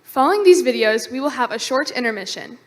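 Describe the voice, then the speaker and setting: A woman emceeing an awards ceremony in a bright, sing-song announcing manner. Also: fairly high pitch, animated, fast, slight background noise. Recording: echoey hall, mic far off, loud music.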